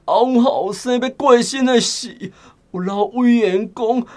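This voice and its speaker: A woman's voice: on the high side, a little slow, natural voice. A woman playing a grieving, insistent village mother with a Taiwanese accent. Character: grieving village mother pleading for her dead son's baby.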